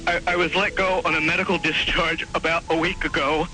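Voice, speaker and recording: A man calling in to a late-night radio show over the phone, stammering, hurried and fearful. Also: fairly high pitch, flat delivery, music in the background, rough recording. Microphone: telephone line.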